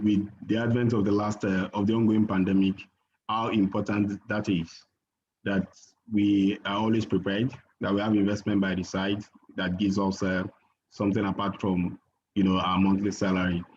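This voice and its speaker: A man giving an investment presentation on a video call, measured and full of fillers and restarts. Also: on the low side, level tone, a little mellow, slight background noise. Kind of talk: webinar presentation. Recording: muffled video call audio.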